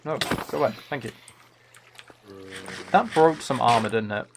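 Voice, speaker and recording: A man's voice: medium pitch, animated, normal speed, background noise. A man chatting casually as he live-streams a game, thinking aloud in an easygoing running commentary. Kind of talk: casual chat while playing a game live.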